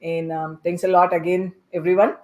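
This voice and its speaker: Woman hosting a panel in halting phrases. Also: fairly low pitch, little pitch movement, darkish tone. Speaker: podcast host moderating a panel.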